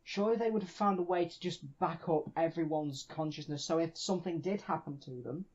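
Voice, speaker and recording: A man's voice: on the high side, brisk. Man in lo-fi podcast chat, restarting sentences. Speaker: podcast co-host. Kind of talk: casual discussion of a TV show. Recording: dull lo-fi sound.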